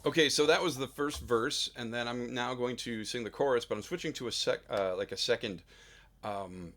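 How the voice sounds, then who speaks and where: A man's voice: mid-range voice, normal speed. Rambling man in his home studio. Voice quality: big loud voice. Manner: rambling, self-deprecating, full of fillers. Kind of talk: casual vlog talk between sung takes. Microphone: studio dynamic mic.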